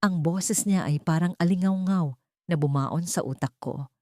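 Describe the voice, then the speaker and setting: A woman with a low, mellow voice narrates a scary first-person story. The delivery is scripted, dramatic and suspenseful, and the sound is close and dry. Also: normal speed, dry recording.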